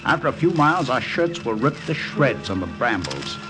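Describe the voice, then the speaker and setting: A man acting an American wartime airman in an old radio adventure. His delivery is urgent and clipped, swinging widely in pitch, with loud dramatic music on the vintage recording. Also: very animated, moderate pace, natural voice. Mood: grim narration. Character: Harry, American wartime airman and agent recounting his mission.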